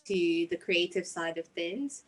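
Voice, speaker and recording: A woman's voice: fairly low pitch, measured pace. A woman with a Nigerian accent, a singer-songwriter telling her personal story in an interview.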